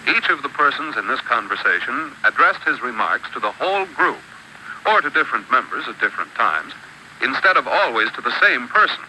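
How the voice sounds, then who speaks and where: A man narrating an old instructional film in a measured, instructive way, setting out rules one by one. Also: medium pitch, big pitch swings, sharp tone, slight background noise, poor audio. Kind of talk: scripted educational narration. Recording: old archival film soundtrack.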